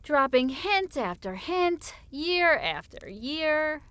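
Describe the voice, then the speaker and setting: A woman playing a teasing, affectionate wife in audiobook dialogue, with a natural, playful, expressive delivery. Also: high voice, slow, exaggerated voice. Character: teasing, affectionate wife and author in cozy conversation.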